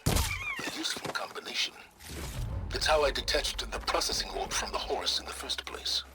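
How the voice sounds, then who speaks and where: A condescending older scholar in a video game. His delivery is dry and imperious with very wide swings in intonation, over clear background music. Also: on the high side, huge pitch swings, moderate pace. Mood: dryly superior. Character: aloof, condescending older male scholar, secretive guide. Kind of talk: video game cutscene acting.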